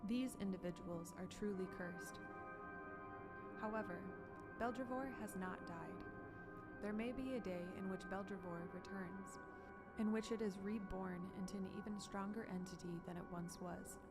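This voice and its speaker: A woman playing a grave, luminous female spirit in a fantasy audio drama, speaking in formal, measured proclamations. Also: mid-range voice, loud music behind the voice, natural voice. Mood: solemn, consoling. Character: solemn luminous female spirit offering hope.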